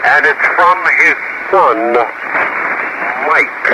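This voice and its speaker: A man working as an amateur radio operator, talking in halting, folksy procedural style over a 1970s shortwave transmission. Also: fairly high pitch, heavy background noise. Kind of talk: radio contact, procedural chat with phonetic call signs. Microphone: ham radio microphone.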